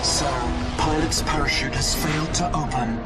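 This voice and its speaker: An actor playing a polite, dutiful suit computer in a video game, formal, composed and deferential. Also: male voice, on the high side, fairly even intonation, normal speed, distant mic, over loud music, lightly acted. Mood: calm alert. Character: calm, polite, dutiful AI assistant of an armored suit. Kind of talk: video game cutscene acting.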